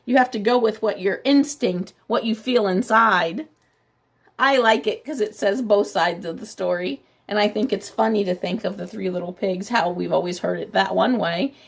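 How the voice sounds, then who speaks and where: A woman's voice: medium pitch, normal speed, creaky, husky. A woman storytime reader talking casually and directly to young children, explaining as she goes. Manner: explanatory, addresses listeners directly. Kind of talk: read-aloud with casual asides to children.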